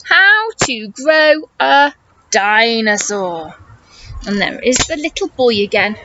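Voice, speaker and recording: A woman teacher leads a children's storytime in a high, very animated voice. She speaks slowly and encouragingly, with exaggerated intonation for little ones. The recording is slightly muffled and noisy. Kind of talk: children's storytime read-aloud with questions and explanations.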